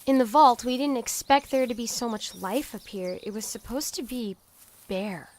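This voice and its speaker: A woman's voice: a little high, normal speed, natural voice. A woman playing a naive, curious young woman fresh from an underground vault, earnest and chatty, in an audio drama. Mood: puzzled.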